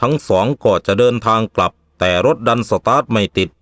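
A man's voice: on the low side, brisk, very noisy. A man narrating a scripted story as a storyteller.

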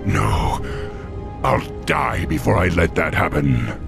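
Young orc leader, deep gritty growl, deliberate pace, noble and earnest, game cinematic. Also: male voice, big pitch swings, music in the background, full character voice. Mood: alarmed. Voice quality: very gravelly, deep. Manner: earnest, commanding. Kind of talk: video game voice acting.

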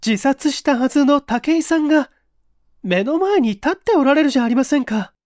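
A woman's voice: high-pitched, lively intonation, normal speed, darkish tone, no room sound, studio quality. A woman playing a distressed, hesitant young office worker in an audio mystery drama, confessing in formal, deferential language. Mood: earnest recounting.

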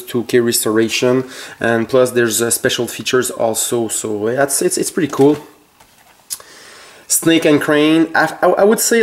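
A man with a French Canadian accent, his first language French, showing his collection in his home basement and talking haltingly with many fillers and self-corrections. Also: medium pitch. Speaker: collector vlogger. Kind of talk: casual collection tour. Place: home basement full of shelves.

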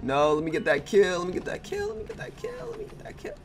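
A young man with a hoarse, worn voice giving excitable, laughing gameplay commentary full of trash talk, with faint game music behind him. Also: high-pitched, fast talker. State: hoarse, losing his voice. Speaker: gaming YouTuber.

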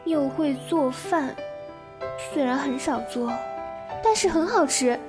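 A woman's voice: high voice, lively intonation, takes it slowly, music in the background. A woman playing a sweet, girlish, affectionate young woman in an audio drama, with a coy, adoring manner. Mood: admiring. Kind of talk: Chinese audio drama acting.

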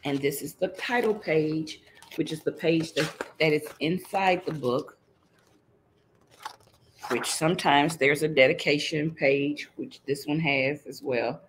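A woman's voice: sits low, unhurried, a little hiss or hum. A middle-aged woman reading a picture book aloud to young children, teacherly and interactive, checking in with her listeners. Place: indoors in front of a green screen.

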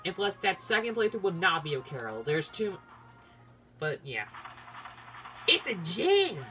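Halting gamer commentary over game music. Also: mid-range voice, sing-song, pushed and bright. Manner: halting, rambling, self-interrupting. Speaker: gaming video host. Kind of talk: casual playthrough commentary. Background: video game music.

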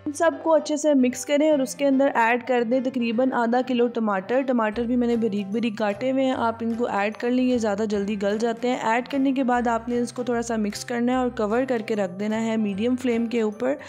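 A young woman, host of a cooking channel, giving steady step-by-step voice-over instructions for a recipe. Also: a little high, brisk, hardly any pauses. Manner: steady, explaining step by step with many fillers. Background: soft background music.

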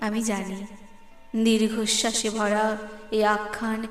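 A woman reciting poetry dramatically, with expressive, deliberate phrasing and pauses. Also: mid-range voice. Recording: echoey, long reverb, music underneath. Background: background music.

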